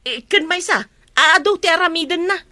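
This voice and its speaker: Woman playing defensive ilocano village wife, really high sharp voice, always bickering, comedy drama. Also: brisk, no room sound. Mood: irritated, bickering. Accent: Ilocano, northern Philippines.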